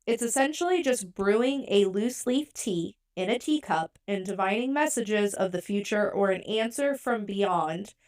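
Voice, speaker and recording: A woman co-hosts a podcast in a candid, chatty way. She pokes fun at herself and keeps the talk casual. Her voice sits in an ordinary middle pitch. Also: lively intonation, measured pace, hardly any pauses, a little mellow. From born in Europe, raised in the US. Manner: chatty, self-deprecating, candid. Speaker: podcast co-host.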